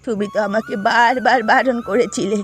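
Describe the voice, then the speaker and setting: A woman's voice: on the high side, fairly even intonation, normal speed, close to an everyday voice. A woman playing a doting, long-suffering ageing village mother in a Bengali cartoon, speaking earnestly and plaintively. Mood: sorrowful. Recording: background music under dialogue.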